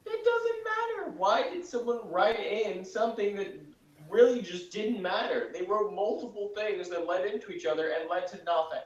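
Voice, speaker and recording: A man's voice: high-pitched, animated, normal speed, highs cut off. Blunt man, distant in an echoey room. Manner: blunt, dismissive. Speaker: podcast panelist. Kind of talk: casual critical commentary. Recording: echoey room, far from mic.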